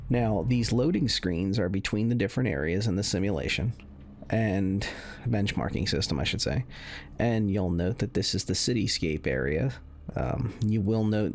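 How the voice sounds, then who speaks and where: Low, gravelly man doing casual game commentary. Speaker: gaming video creator. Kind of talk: casual unscripted benchmark commentary.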